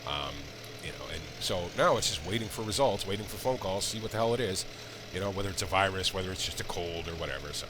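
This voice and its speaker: A man's voice: sits low, expressive, quick pace. A man with a congested, sick-sounding voice, chatting in a rambling way while he plays and comments on a farming game. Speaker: gaming channel host. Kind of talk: casual let's-play commentary. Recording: loud game engine noise underneath.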